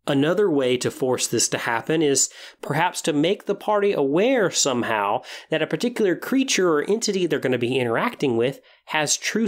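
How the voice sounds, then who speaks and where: A podcast host talking solo about tabletop role-playing in a conversational, explanatory way. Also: mid-range voice.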